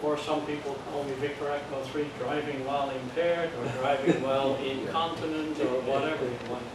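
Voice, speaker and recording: A man's voice: mid-range voice, level tone, fairly quick. An older man from the Netherlands with a Dutch accent, teaching an amateur radio class and explaining step by step in a patient way. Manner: plain, patient explaining with jokes and check-ins. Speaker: amateur radio course instructor. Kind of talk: classroom lecture. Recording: echoey room from far off, noisy.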